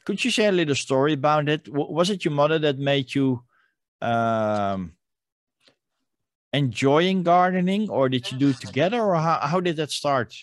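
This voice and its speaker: A man hosting a casual interview, asking questions in a halting way full of restarts and fillers. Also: a little high, expressive, no echo. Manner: hesitant, many restarts and fillers. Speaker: podcast host.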